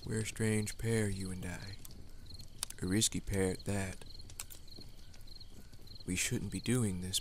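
Low-voiced, tender demon slayer acted by a man. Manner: soft, hesitant, affectionate. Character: tender, uneasy male demon slayer in secret love. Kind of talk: ASMR roleplay, intimate one-sided dialogue. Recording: clear noise of outdoor night ambience, crickets. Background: crickets and night nature ambience.

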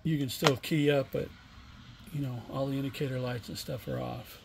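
A middle-aged man giving a casual, unscripted product demonstration in a plainspoken, halting way. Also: mid-range voice, steady tone, gravelly, a little mellow. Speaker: online seller demonstrating used radio equipment. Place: indoor home workshop bench. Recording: room noise, homemade camera recording.

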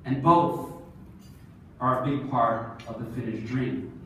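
Animated man speaking on a conference stage. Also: medium pitch, slow pace, slight background noise. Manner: lively, animated storyteller with audience questions. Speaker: keynote speaker. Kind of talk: storytelling keynote speech. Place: large conference hall, on stage. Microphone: phone camera far from the stage. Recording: echoey hall recorded from far off.

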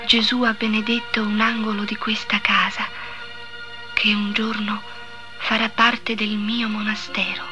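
An actress playing a pious, gentle teenage saint in a solemn, tender 1950s Italian devotional drama style. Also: female voice, mid-range voice, flat delivery, lightly acted. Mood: gentle, prophetic. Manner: slow, solemn, tender delivery. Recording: old 1950s recording with music behind.

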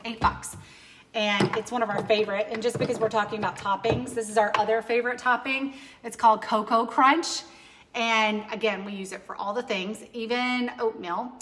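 Bright-voiced chatty woman in an echoey kitchen. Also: fairly high pitch. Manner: chatty, upbeat, rambling with restarts. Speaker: cooking demo host. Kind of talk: casual live cooking demonstration. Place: home kitchen, indoors. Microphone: overhead camera from a distance. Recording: echoey kitchen with long reverb.

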